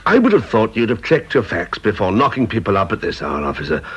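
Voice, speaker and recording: A man's voice: lowish voice, wildly swooping pitch, quick pace, slightly rough, highs cut off, exaggerated voice. An actor with an English accent playing a brusque, impatient security supervisor and father in a radio drama. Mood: impatient, dismissive. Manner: brusque, authoritative, dismissive.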